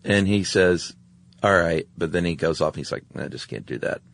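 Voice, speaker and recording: Low-voiced droll male podcast co-host. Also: moderate pace, gravelly. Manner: droll, offhand. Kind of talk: casual two-person chat, retelling stories.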